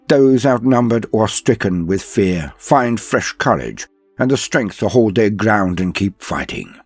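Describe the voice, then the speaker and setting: A man delivering dramatic scripted narration as a host, solemn, theatrical and grandiose, with a husky voice. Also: lowish voice, a little mellow, dry recording. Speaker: host and narrator of a lore show.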